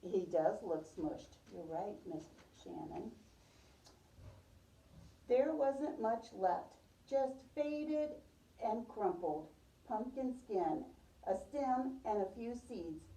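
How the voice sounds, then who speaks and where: Woman reading to preschoolers, very mellow, roomy sound. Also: medium pitch, very animated, slow. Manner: addresses listeners as young children, repeats their answers. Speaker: preschool teacher reading aloud. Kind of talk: picture-book read-aloud with questions to children. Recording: roomy, distant microphone, muffled top end.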